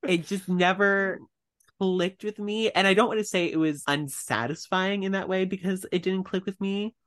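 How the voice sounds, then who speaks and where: A man's voice: sits high, fairly even intonation, moderate pace, fairly bright tone. A man co-hosting a podcast, chatting casually and self-deprecatingly, often quoting his own thoughts aloud. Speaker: podcast co-host. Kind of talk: casual chat.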